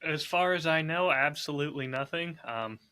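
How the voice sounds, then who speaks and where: A man's voice: on the high side, normal speed, sharp tone, smooth. A man chatting casually and informally as a podcast co-host. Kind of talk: casual chat.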